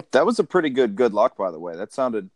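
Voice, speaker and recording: A man hosting a casual podcast, steering the conversation in a relaxed way. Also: mid-range voice, brisk, dry recording, clean studio sound. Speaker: podcast host.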